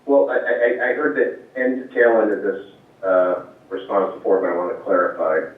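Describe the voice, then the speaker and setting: A man's voice: fairly low pitch, fairly even intonation, normal speed. A man on the board, calling in to a meeting, asking careful questions with hesitant restarts. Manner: careful, hesitant, repeats words. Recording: echoey, distant, phone-like line.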